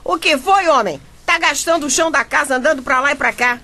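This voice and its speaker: A woman's voice: high voice, fairly quick, bright, forceful tone, a little hiss or hum. An actress playing an exasperated, gossipy Brazilian bricklayer's wife who chattily narrates her misfortunes in a radio soap. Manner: chatty, addresses listeners directly. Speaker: narrator and character. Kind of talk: radio soap opera acting, chatty storytelling.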